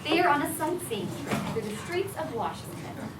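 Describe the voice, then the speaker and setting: A woman's voice: high voice, very animated, moderate pace, very noisy. A woman reading out stage directions as scripted narration. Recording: echoey room, distant mic, loud music behind.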